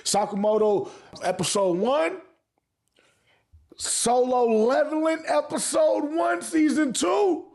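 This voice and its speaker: High-pitched man, slangy and profane. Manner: emphatic, repeats phrases for effect. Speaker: anime review host. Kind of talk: casual hype review commentary.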